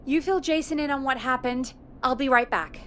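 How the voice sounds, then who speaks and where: An actress playing a friendly radio producer in a horror game, speaking in an earnest, chatty and practical way. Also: female voice, high-pitched, normal speed, a bit bright, slight background noise. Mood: concerned, friendly. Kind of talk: dramatic video game voice acting.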